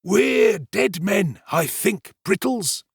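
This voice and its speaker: A man voicing a pompous, self-important male servant in a storybook reading, with drawn-out, emphatic delivery. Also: high-pitched, expressive, gravelly, slightly rough, no echo, clean studio sound, voice pushed up, heavily acted. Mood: dramatic, grave.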